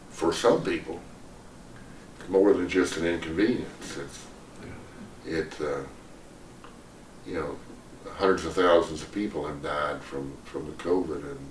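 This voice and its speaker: An older man speaks slowly and haltingly at a middling pitch, in a reflective, rambling interview style. His voice is picked up from a distance in an echoey room. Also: slight background noise. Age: older, 70s or above. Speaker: singer-songwriter guest. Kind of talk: reflective, unscripted talk in an interview.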